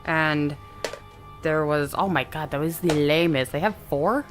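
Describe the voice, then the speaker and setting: A woman chatting casually as a tabletop game player, playful and quick to exclaim. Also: deep voice, over music.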